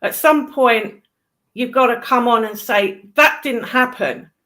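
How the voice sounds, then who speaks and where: A woman's voice: a little high, a little slow, fairly bright tone. A woman chatting casually in an exasperated, emphatic way, with some casual swearing. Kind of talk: casual chat.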